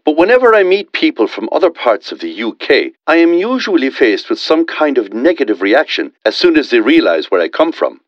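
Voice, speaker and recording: A man's voice: on the high side, big pitch swings, fairly quick, hardly any pauses, dry recording. A man from Northern Ireland calling in to a radio show, earnest and proud, speaking in tidy full sentences over a slightly dull phone line. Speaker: caller. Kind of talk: scripted phone-in call.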